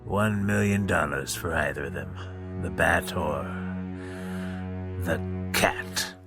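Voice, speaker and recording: Male actor as cold older gangland patriarch, slow and creaky, commanding, audio-drama style. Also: rumbling low, over loud music, full character voice. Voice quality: gravelly. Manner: deliberate, imperious. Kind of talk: comic-book audio drama acting.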